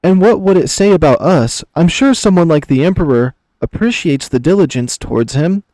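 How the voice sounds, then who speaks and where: Visual novel lioness leader, played by a man: seductive, coaxing, mocking, theatrically courtly, lifted pitch, close dry sound. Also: lively intonation, moderate pace, dark tone, dry recording, close to an everyday voice. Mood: teasing, mocking. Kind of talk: visual novel character reading.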